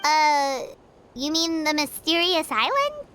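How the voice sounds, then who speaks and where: A tiny game fairy with a very high, squeaky child's voice. She is earnest and easily indignant, swinging widely in pitch, with clear noise behind her. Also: sing-song, slow pace, heavily acted. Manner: refers to self in third person, exclamatory. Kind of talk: video game voice acting.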